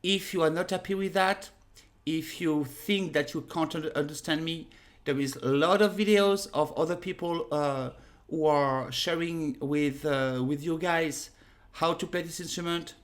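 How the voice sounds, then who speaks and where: A woman in her thirties with a French accent, teaching a casual lesson to a webcam in a small room at home, unhurried and full of fillers. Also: sits low. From France. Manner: unhurried, pauses with many fillers, direct. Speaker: harmonica teacher. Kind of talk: casual spoken lesson to camera.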